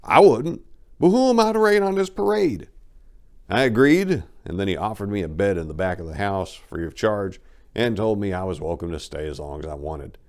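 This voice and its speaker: A man's voice: on the low side, expressive, normal speed. A man reading a first-person story as dramatic audiobook narration, in a folksy, colloquial storytelling manner.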